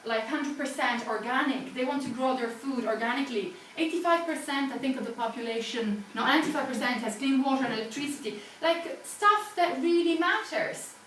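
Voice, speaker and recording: A woman's voice: sits high, a little fast, few pauses, a bit bright. Italian woman giving a chatty talk. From Italy. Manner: chatty, self-deprecating, many fillers and restarts. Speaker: guest speaker. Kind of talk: informal public talk. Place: small venue, talk to live audience. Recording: echoey room from a distance, faint background hiss.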